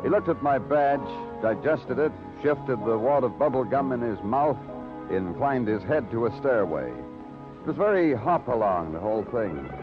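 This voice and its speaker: An actor playing a world-weary New York police detective in a 1950s radio crime drama, clipped, dry and wryly poetic. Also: male voice, medium pitch, expressive, a little slow, close to an everyday voice. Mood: wry, brooding. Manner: hard-boiled, clipped questioning, dryly poetic narrating. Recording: old radio recording, muffled, music behind.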